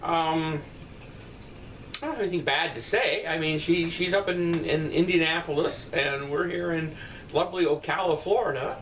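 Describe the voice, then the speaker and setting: Middle-aged father, blunt rambling talker, slightly raised pitch; distant-mic bedroom recording with faint noise. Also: fairly even intonation, normal speed, a bit bright. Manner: rambling, blunt, profane asides. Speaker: father answering viewer comments. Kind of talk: casual comment-response chat. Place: bedroom at night, indoors.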